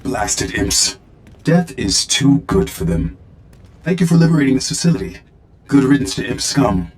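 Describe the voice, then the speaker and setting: A man's voice: mid-range voice, sing-song, normal speed, echoey room, slight background noise, natural voice. A man voicing a blunt, scornful surveillance droid in a video game, with clipped, formal speech. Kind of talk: scripted video game dialogue.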